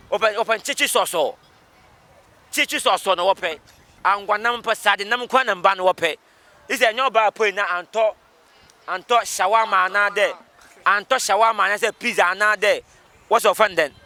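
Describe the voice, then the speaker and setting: A Ghanaian man speaks in a very high register with a bright, sharp edge. He repeats himself for emphasis and switches between languages, like someone giving opinions on the street. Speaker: member of the public interviewed. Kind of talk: animated street interview in code-switched speech.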